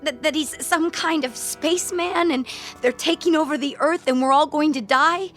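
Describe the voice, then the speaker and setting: A woman's voice: high-pitched, normal speed, fairly bright tone, soft background music. An actress playing a frightened, tender young woman with an anxious, pleading manner in a radio drama. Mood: distressed. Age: young adult.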